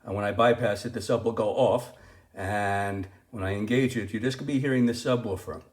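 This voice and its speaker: A man giving a casual, unscripted product review as he demonstrates gear, halting and restarting his phrases. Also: lowish voice, steady tone. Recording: roomy, echoing sound.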